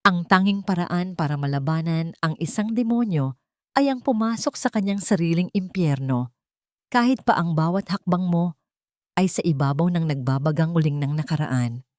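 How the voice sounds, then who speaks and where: A woman with a Filipino accent narrating a dramatic story in a solemn, deliberate manner. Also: lowish voice, warm tone, no room sound, studio quality. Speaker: audiobook narrator.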